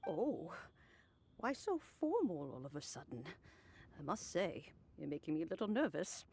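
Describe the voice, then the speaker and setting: A woman voicing a gentle, elderly female immortal who speaks in a gracious, unhurried, courteous way. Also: low voice, very animated, a little hiss or hum. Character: gentle elderly female adeptus, kind hostess.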